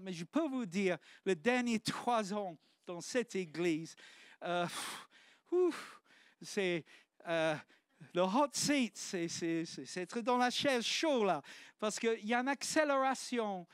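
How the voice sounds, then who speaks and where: A man's voice: high voice, animated, slow, clean tone, no echo, clean studio sound. A man, preaching a sermon in church with earnest, exclamatory restarts. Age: 50s.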